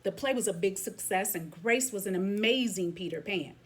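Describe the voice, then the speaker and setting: A woman reading a children's picture book aloud as a teacher would, expressively, pausing to comment to young listeners. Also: mid-range voice, slightly rough.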